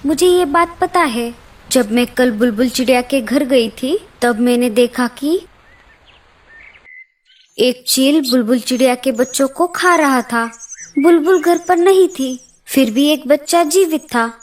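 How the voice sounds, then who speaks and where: A woman's voice: sits high, measured pace. A woman voicing a worried but brave mother sparrow in an earnest, emotive way for a children's cartoon story. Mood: earnest, grave.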